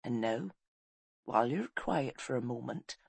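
A woman's voice: a bass voice, expressive, a little slow, creaky, a little mellow, slightly rough, dry recording, voice pushed down, heavily acted. A woman voicing a roguish, teasing clansman husband with a wry, cajoling manner, in an audiobook.

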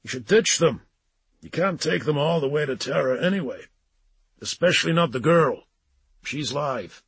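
A man's voice: a little high, animated, moderate pace, a bit bright, husky, dry recording, voice pushed up, full character voice. A man voicing a breezy, blunt and persuasive male psychic agent in an audiobook. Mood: urgent, blunt. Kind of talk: audiobook character dialogue.

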